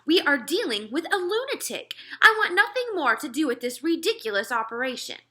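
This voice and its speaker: Woman playing a forceful, blustering army general. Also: high-pitched, sing-song, a little fast, pitched above the performer's own voice, heavily acted. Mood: outraged. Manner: exasperated, forceful complaining. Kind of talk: children's storybook read-aloud.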